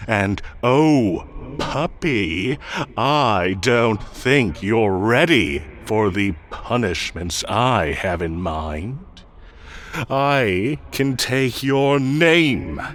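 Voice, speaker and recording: A man's voice: lowish voice, a bit bright, a little hiss or hum, heavily acted. A man playing a smug, possessive and menacing fae king in roleplay audio, theatrical and condescending as he speaks directly to the listener. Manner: theatrical, condescending, slow deliberate coaxing.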